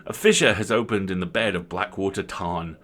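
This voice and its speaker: A man voicing a brisk, good-humoured gentleman mine owner in a literary story, loud, hearty and commanding. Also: deep voice. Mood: brisk, serious.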